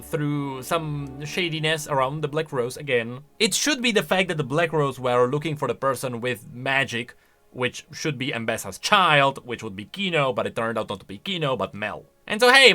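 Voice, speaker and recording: A man giving casual, opinionated reaction commentary on video game lore in an animated, conversational way. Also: fairly high pitch, normal speed. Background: faint music.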